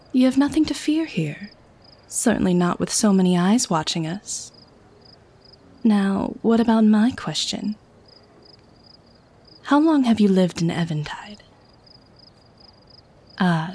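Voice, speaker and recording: Actress as silky, teasing female vampire for audio drama; mid-pitched, mellow and dark, coaxing, slyly predatory. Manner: coaxing, silky, genteel, mock-sweet. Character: sly, teasing, predatory lady vampire feigning harmless friendliness. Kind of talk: scripted ASMR audio roleplay.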